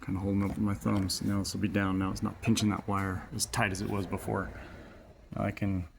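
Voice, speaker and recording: A man with a fairly low, creaky voice walks through a job in a casual, practical way. He explains each step informally as he works. Noticeable workshop noise sits behind him. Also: level tone, brisk. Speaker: installer giving a tutorial. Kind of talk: casual hands-on how-to narration. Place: in and around a utility vehicle in a garage or shop. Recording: noisy workshop ambience behind voice.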